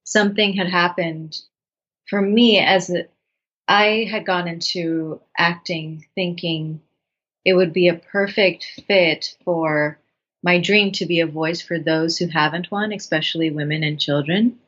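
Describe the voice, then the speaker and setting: Earnest low-voiced woman in her thirties. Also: fairly even intonation, a little slow, a bit bright. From Bangladesh. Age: 30s. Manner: thoughtful, earnest, with frequent restarts. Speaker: guest, writer and actress. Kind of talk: reflective interview talk. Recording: dull lo-fi sound.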